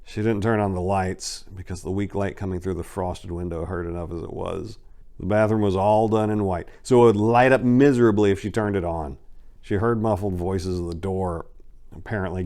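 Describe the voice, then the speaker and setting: Low-voiced man narrating gritty crime fiction. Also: fairly even intonation, normal speed, dark tone. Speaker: narrator, dramatic reader.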